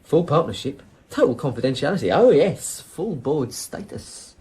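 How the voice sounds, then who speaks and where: A man's voice: a little high, big pitch swings, moderate pace, darkish tone. A man playing a cocky small-time drug dealer in a radio crime drama, chummy and ingratiating with a salesman's patter. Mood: pitching, smug.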